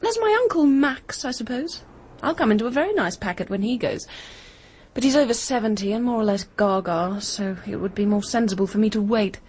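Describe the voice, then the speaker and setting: An actress playing a brisk, practical, inquisitive British woman in a radio drama, frank and questioning. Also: a little high, a little mellow, slight background noise, close to an everyday voice. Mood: determined, practical. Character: brisk, practical, inquisitive Englishwoman plotting an investigation.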